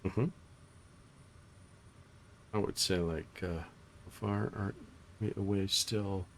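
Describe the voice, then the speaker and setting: A man in his forties hosting a relaxed, teasing group chat while flying, talking over a voice-chat headset. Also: low-pitched, slow pace, slight background noise. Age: 40s. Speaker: flight sim channel host.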